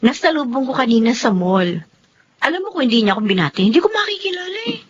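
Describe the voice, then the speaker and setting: A woman's voice: sits high, very animated, quick pace, husky, exaggerated voice. A Filipino woman playing a chatty, mildly testy wife in a radio-style drama. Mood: concerned, gossipy. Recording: very dull, lo-fi audio.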